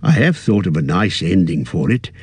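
A man reading aloud, voicing a fussy, aging male hobbit in a high, quavering, petulant voice that grows possessive. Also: sing-song, normal speed, a little mellow, breathy edge, no room sound, exaggerated voice. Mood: wistful.

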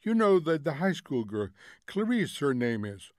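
Man acting an agitated, exasperated husband, raised pitch. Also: sing-song, dry recording, studio quality. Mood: quiet, insistent. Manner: rapid-fire incredulous questioning, shouting when frustrated.

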